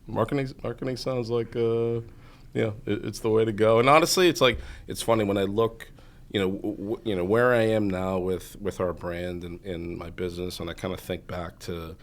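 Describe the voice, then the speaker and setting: Gravelly, deep-voiced New man. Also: flat delivery, moderate pace. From Massachusetts. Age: 40s. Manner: rambling, heavy on "you know" fillers. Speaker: guest, entrepreneur. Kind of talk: casual interview storytelling.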